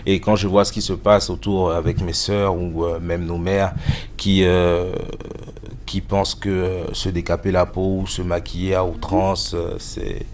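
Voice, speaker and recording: A man in his thirties answers interview questions about his music in a very deep, flat voice. He speaks haltingly and often stops to search for words. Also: slight background noise. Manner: hesitant, many fillers. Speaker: musician guest.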